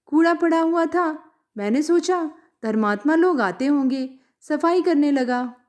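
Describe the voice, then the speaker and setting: Woman as earnest, high-voiced naive young villager. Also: measured pace, a little mellow. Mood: humble, earnest. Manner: earnest, respectful, guileless.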